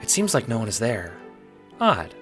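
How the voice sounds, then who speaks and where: A man's voice: fairly low pitch, huge pitch swings, normal speed, a bit bright, soft background music. A man reading scripted second-person narration for an audio drama.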